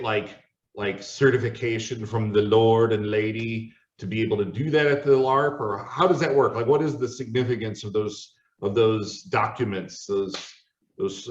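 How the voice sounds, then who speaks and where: Man, podcast host, halting casual questions, muffled sound, roomy echo. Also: on the low side.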